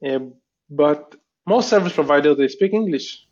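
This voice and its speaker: A man's voice: fairly high pitch, lively intonation, normal speed, darkish tone, highs cut off. A man from Israel, a guest entrepreneur, chatting casually and thoughtfully with many restarts in an interview.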